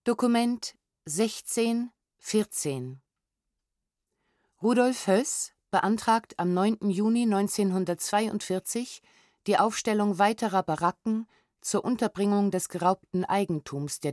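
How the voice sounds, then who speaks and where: A woman's voice: medium pitch, darkish tone, dry recording, clean studio sound. A woman narrator giving a formal, factual reading of archival documents, restrained and precisely enunciated. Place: studio.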